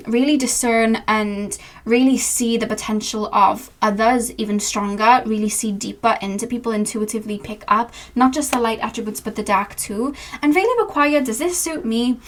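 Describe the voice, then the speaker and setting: Young female tarot reader, slightly high-pitched, loose and rambling, fumbles for words. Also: a bit bright. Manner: meandering, filler-heavy, searching for words. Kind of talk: channeled tarot reading.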